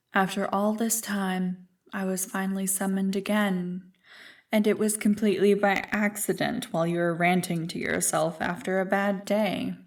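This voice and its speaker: A woman playing an ancient evil female spirit in an ASMR roleplay, seductive, smug and condescending in a deliberate, teasing manner. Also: mid-range voice, darkish tone, recorded from a distance, close to an everyday voice.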